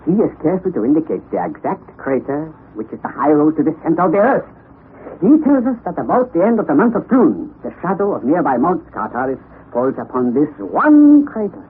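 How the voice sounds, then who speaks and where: An actor playing an excitable, eccentric elderly professor in a 1960s radio drama, speaking in a commanding, theatrical way. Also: male voice, fairly high pitch, fairly quick. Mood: explaining. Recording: lo-fi vintage radio broadcast with hiss.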